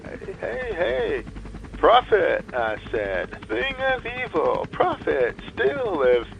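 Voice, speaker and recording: Desperate, sorrow-laden man played by a male actor, drawn-out theatrical verse, wide swings, muffled recording. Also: a little high, wildly swooping pitch, gravelly, exaggerated voice. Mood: pleading. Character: desperate, sorrowful man imploring a demonic bird. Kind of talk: dramatic poetry reading.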